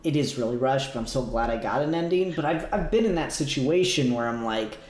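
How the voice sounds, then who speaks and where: A man giving a casual review as a podcast co-host, speaking in halting, searching phrases. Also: mid-range voice, animated, darkish tone. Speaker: podcast co-host reviewing a fan audio drama. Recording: echoey room sound.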